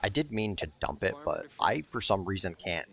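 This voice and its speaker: Deep-voiced, rambling male gamer. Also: sing-song, moderate pace, vocal fry, fairly bright tone. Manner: rambling, profane, wry. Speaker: gaming streamer. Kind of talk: casual gameplay commentary.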